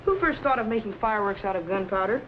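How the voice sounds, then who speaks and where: Eager, high-voiced boy assistant. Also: expressive, quick pace. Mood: wide-eyed. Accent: American. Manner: wide-eyed, earnest exclamations. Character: eager teenage boy lab assistant, respectful and curious. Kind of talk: 1950s sci-fi serial acting. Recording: dull, noisy vintage broadcast soundtrack.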